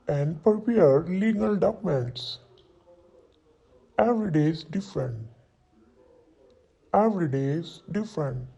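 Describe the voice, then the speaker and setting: A man in his twenties voicing a young London solicitor who introduces himself, saying each sentence twice slowly for learners to repeat. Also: sits high, dark tone, breathy edge, dull audio, exaggerated voice. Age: 20s. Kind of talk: slow repeat-after-me drill reading.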